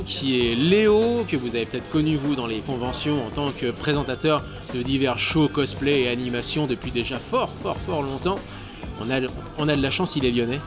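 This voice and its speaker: A man in his thirties, a guest and radio show co-host, chatting casually and hesitantly in a bar, with many fillers and stammered repeats. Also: on the high side. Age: 30s. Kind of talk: casual interview chat. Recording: clear music behind the voice. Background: music.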